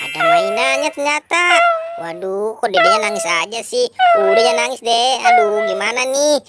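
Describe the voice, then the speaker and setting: A man's voice: very high voice, wildly swooping pitch, normal speed, heavily acted. A man voicing a cheerful, flustered young hero uncle in children's toy-play storytelling, chatty and full of exclamations. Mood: soothing. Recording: loud music over the voice.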